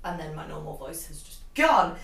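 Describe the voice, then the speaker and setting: A young woman vlogging while she dances, her voice croaky and her talk bubbly and exclamatory, slightly out of breath from moving. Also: sits low, big pitch swings, moderate pace, fairly bright tone. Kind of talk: chatty vlog while dancing. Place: cold glass conservatory at home. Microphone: far camera. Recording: echoey room from far off, music behind.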